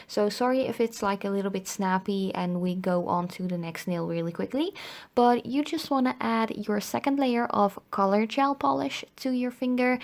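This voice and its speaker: A young woman from the Netherlands, slightly hoarse after being ill, chatting casually through a voice-over tutorial with lots of "um" and "like". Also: mid-range voice. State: slightly hoarse, recovering from illness. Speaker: nail art video host.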